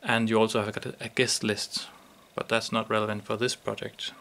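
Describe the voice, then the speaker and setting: Gravelly man demonstrating a product. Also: lowish voice, flat delivery, brisk, a bit bright, slight background noise.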